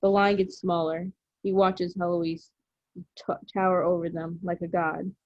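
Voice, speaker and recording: A woman's voice: on the low side, level tone, unhurried, a little mellow, dry recording. A woman reading stage directions as scripted narration at a drama table read. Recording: dry, muffled lo-fi audio.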